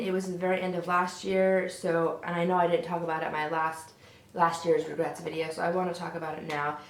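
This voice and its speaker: A young woman vlogging about beauty products, her voice a little raspy and hoarse as she gets over a sore throat, chatty and frank. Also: on the low side. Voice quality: slightly raspy. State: hoarse, recovering from strep throat. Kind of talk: casual product review to camera. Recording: roomy echo.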